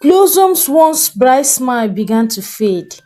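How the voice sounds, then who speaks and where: A woman narrating a folktale in a deliberate, dramatic storytelling style. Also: sits high, soft background music. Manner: slow, expressive, deliberate storytelling.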